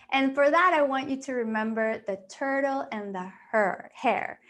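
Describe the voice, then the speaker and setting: Woman, financial planner; encouraging, upbeat, slightly high, on a video call. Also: measured pace, a bit bright. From Peru. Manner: upbeat, encouraging, with fillers and restarts. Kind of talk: webinar presentation.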